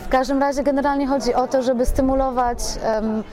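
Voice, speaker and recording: Woman, slightly high voice, effusive, rambling interviewee, self-correcting, clear crowd chatter in the background. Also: fairly even intonation, brisk. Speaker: radio play producer being interviewed. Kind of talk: spontaneous interview talk. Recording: busy crowd chatter behind voice. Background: crowd at a public event.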